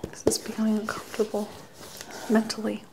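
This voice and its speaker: A woman playing the person being patted down in an ASMR role-play, answering quietly in a dry, deadpan way. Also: medium pitch, brisk, poor audio.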